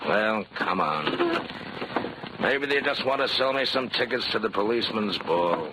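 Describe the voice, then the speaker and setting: An actor playing a cool, tough nightclub boss with an American accent, curt and hard-boiled, in a 1940s radio crime drama. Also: male voice, on the low side, lively intonation, a little slow. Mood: cool, dry. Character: cool, tough nightclub boss under suspicion, adult man. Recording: noisy vintage 1940s radio recording.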